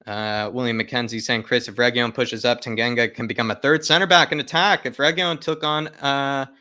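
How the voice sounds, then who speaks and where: A man's voice: mid-range voice, steady tone, a little fast, pushed and bright, no room sound, studio quality. A man hosting a football fan livestream, chatting casually and emphatically to viewers, often repeating his phrases for stress. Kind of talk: casual live fan chat, reading viewer comments aloud.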